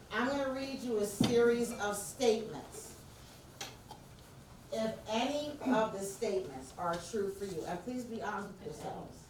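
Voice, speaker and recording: A deep-voiced woman with a New York accent leads an interactive workshop and keeps calling on her audience. Her voice is picked up from well off the mic. It rings through a very reverberant meeting room over a faint background hiss. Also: a little mellow. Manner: engaging, prompts the audience directly. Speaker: workshop presenter. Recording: very echoey room, distant mic, faint noise.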